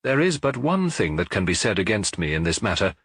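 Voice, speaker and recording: A man's voice: sits low, sing-song, brisk, fairly bright tone, husky, no echo, clean studio sound, exaggerated voice. A man voicing a proud, scornful sun-cult prophet in a lofty, oratorical manner for an audiobook story. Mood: proud, defiant.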